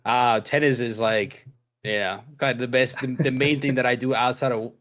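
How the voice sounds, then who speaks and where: A man's voice: mid-range voice, steady tone, moderate pace, fairly bright tone. A young man from Mexico, a podcast guest talking casually on a video call, rambling with many fillers and restarts. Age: young adult. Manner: rambling, laid-back, lots of fillers and restarts. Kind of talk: casual chat.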